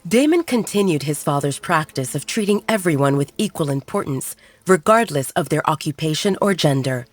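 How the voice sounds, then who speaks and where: A woman reading a romance story aloud as a calm, even narrator. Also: deep voice, normal speed. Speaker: audiobook narrator.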